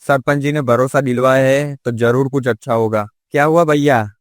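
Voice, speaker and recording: A man's voice: medium pitch, fairly even intonation, normal speed, natural voice. A man playing a poor, humble villager in a cartoon story, speaking in an earnest, anxious way. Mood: uneasy. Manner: respectful, earnest. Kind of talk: Hindi cartoon moral-story dialogue.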